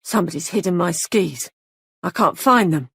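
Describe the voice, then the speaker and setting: A mad young killer whose voice turns childlike, menacing and giddy by turns, as a woman reads him. The tone is gravelly and husky, close and dry. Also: on the low side, expressive, normal speed, a bit bright, no room sound, full character voice. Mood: gleeful.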